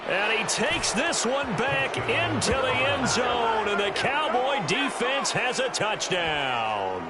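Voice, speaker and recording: A man playing an excitable American play-by-play football announcer in a video game, with a polished, dramatic broadcast delivery. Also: very high voice, slow pace, full character voice. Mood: excited. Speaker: play-by-play commentator. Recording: game audio under loud stadium noise. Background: stadium noise from a football video game.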